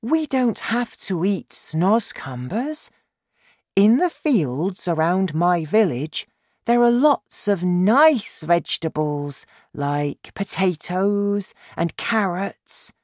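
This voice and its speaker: A man's voice: high voice, animated, slow pace, a little mellow, dry recording, clean studio sound, voice pushed up. A man voicing an outspoken little girl in a children's story, earnest and exclaiming.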